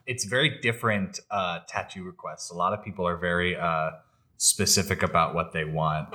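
A man with a low-pitched voice chats casually on a fan podcast. He speaks as a co-host in an informal, conversational style. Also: steady tone, moderate pace, bright, forceful tone.

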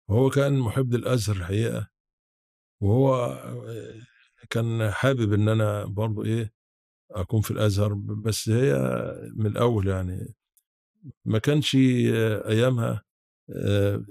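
Interview guest: Egyptian male, seventies, lowish creaky voice, reminiscing haltingly with restarts. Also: mellow, dry recording, studio quality. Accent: rural-born Egyptian Arabic. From Sharqia, Egypt. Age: 70s. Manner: halting, with repeated false starts. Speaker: podcast guest, academic.

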